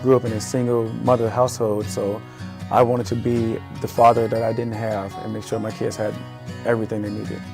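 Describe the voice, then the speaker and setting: A middle-aged father telling his own story in a testimonial video, earnest and halting with many pauses. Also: fairly low pitch, little pitch movement, gravelly, music in the background. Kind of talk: personal testimonial.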